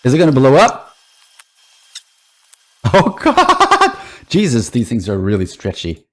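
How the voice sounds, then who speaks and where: Slow-talking swearing reaction streamer. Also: male voice, medium pitch, huge pitch swings, a bit bright. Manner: swears and laughs often, thinks aloud. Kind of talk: casual reaction commentary.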